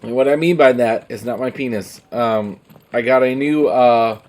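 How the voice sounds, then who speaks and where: Joking male streamer, mid pitch. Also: moderate pace, darkish tone, slight background noise. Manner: joking, rambling. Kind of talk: casual gameplay commentary.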